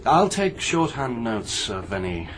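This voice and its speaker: Young English reporter, brisk, dry, sceptical, varied intonation, radio mystery, faint noise. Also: male voice, medium pitch, very animated, a bit bright, poor audio. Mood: sceptical, wry. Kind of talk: radio mystery drama acting.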